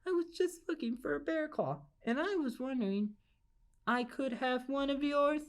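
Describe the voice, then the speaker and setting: A woman voicing a hungry, silly old lady from a storybook, stubborn but timid, in an excitable, exclaiming way. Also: high voice, normal speed, voice pushed up. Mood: frightened, pleading. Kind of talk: storybook character voice.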